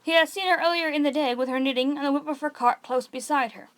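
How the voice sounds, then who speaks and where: A woman's voice: high-pitched, fast talker, fairly bright tone. A woman reading a story aloud as an amateur narrator, sometimes stumbling over words and correcting herself. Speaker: amateur reader of an audiobook read-along.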